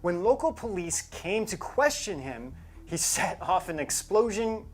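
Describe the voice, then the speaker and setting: Lively, emphatic male co-host. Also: high voice, unhurried. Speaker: show co-host. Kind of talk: scripted narration in conversational co-hosted show.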